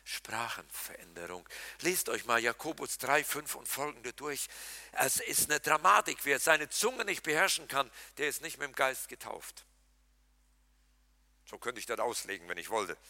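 A man preaching with a bright, forceful voice that swings widely in pitch as he addresses the congregation. He jokes with them and challenges them directly. The sound is dry and close, with no room echo. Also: normal speed. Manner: animated, talks to the congregation directly, jokes and laughs. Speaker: pastor. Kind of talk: lively Pentecostal sermon.

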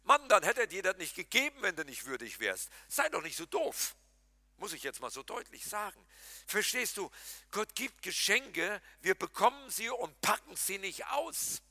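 A pastor preaching a lively sermon, talking straight to his congregation and mixing in jokes. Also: sits high, normal speed, no echo. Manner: animated, talks to the congregation directly, jokes and laughs.

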